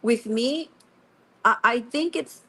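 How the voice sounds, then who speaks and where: A woman's voice: a little high, slow, fairly bright tone, breathy edge. A woman, a singer-songwriter speaking as a guest in a casual radio interview, rambling with many restarts and acting out quoted conversations.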